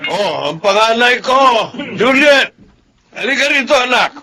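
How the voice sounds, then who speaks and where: A man's voice: very high voice, animated, measured pace, a crowd in the background, full character voice. A man playing a proud, doting, jovial father in a Filipino radio drama, hearty and boastful.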